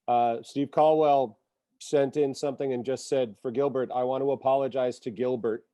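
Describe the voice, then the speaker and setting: A man's voice: mid-range voice, fairly even intonation, normal speed, dark tone, no echo. A man co-moderating a panel, reading out audience questions and comments with some hesitation. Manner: hesitant, reads submitted comments aloud.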